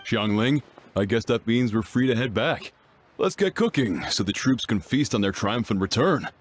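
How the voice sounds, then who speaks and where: A man's voice: medium pitch, lively intonation, moderate pace, background noise, close to an everyday voice. A man playing a hearty middle-aged father and chef in a video game. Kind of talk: English video game voice acting.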